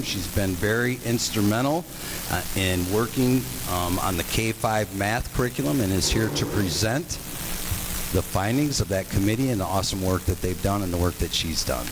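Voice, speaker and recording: A lower-pitched man with a raspy voice gives a report at a board meeting. He talks loosely, with frequent ums and uhs. A steady hiss sits under the recording. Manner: relaxed, filler-laden speaking. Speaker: school superintendent. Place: meeting room.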